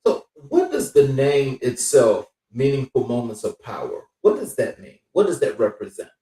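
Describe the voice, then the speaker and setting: Guy hosting a chill interview, soft mellow voice, echoey room. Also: mid-range voice, sing-song, moderate pace. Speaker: podcast host, interviewer. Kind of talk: casual interview chat. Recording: roomy, distant from the mic.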